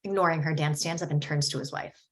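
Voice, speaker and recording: A woman reading screenplay stage directions at a table read in a steady, descriptive way. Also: deep voice, fast talker.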